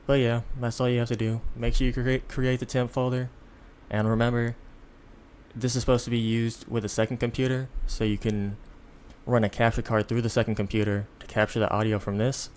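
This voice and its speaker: A man giving a casual, unscripted software tutorial in an informal, halting, step-by-step way, with background noise. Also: medium pitch, level tone. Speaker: tutorial presenter.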